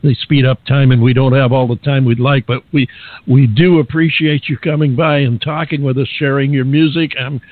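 A man hosting a radio show, interviewing a guest in a genial, halting way with many restarts. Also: medium pitch, fairly even intonation, no room sound, narrow, tinny audio.

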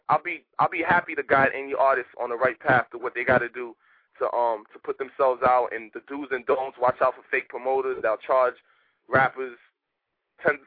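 Fast-talking rapper on a phone line. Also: male voice, a little high, no room sound. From Haiti. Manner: animated, streetwise, rapid talk with repeated "you know what I mean". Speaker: rapper guest. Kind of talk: casual radio interview. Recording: tinny phone line, dry.

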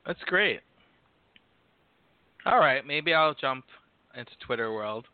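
A man's voice: medium pitch, lively intonation, slow, clear, resonant tone, no room sound. A man in his thirties, co-hosting a podcast in casual chat, playful and campy with laughing asides. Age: 30s. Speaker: podcast co-host. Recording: tinny phone-like call audio, dry.

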